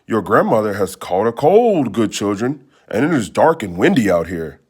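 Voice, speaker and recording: A man voicing a cunning old storybook wolf who pretends to be a kindly grandmother and coaxes in drawn-out words. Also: fairly low pitch, very animated, a little mellow, breathy edge. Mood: coaxing, sly.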